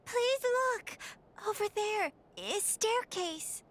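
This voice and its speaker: A woman's voice: very high-pitched, animated, drawn-out words, full character voice. A woman voicing a small, earnest robot girl in a video game, speaking in simple broken grammar. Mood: urgent. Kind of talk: video game cutscene acting. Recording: game music loud behind.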